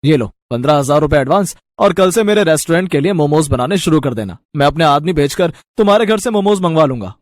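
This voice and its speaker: A man voicing a businesslike restaurant manager in a suit, talking quickly and directly. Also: on the high side, lively intonation, dry recording, studio quality, natural voice.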